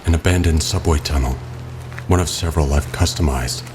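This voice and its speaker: An actor playing a stern, brooding, protective masked vigilante in an audio drama, speaking in a terse, controlled, grave way with music behind him. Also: male voice, extremely low pitch, a little fast, noisy background. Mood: calm, terse.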